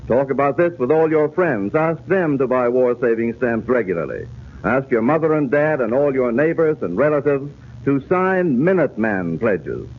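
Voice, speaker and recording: A man's voice: medium pitch, very animated, moderate pace. A man making a folksy, earnest appeal to young listeners in 1940s radio style. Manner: folksy, earnest, direct address. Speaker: radio pitchman addressing children. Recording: tinny, noisy 1940s radio broadcast.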